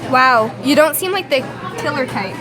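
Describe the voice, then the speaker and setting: A woman's voice: high-pitched, lively intonation, moderate pace, music in the background, a crowd in the background. A woman playing a defensive young female criminal in an amateur radio play. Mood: bleak.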